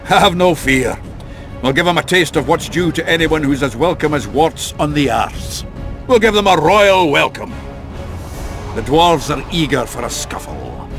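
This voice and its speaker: An actor playing a gruff, bawdy dwarf warrior in a video game, blustery, salty and jocular. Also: male voice, mid-range voice, fairly bright tone.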